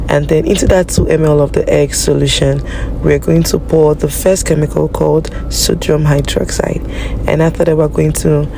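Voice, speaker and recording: A biology teacher, a woman with a Nigerian accent, hoarse and raspy from a flu, narrating a lab practical in a chatty, apologetic way. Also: deep voice, flat delivery, moderate pace, background noise. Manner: chatty and apologetic, with many fillers. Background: background music.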